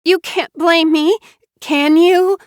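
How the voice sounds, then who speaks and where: A high, wheedling young wife who whimpers and sobs her pleas, put on by a woman reading aloud. She draws her words out slowly in a breathy little-girl whine. The recording is close and dry. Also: animated, fairly bright tone, dry recording, heavily acted. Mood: tearful pleading. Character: manipulative young woman, whiny and tearful.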